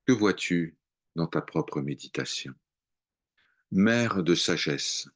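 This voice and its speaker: A man reciting devotional poetry in a measured, contemplative way, with long pauses between short phrases. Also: sits low, expressive. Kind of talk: slow devotional poem recitation.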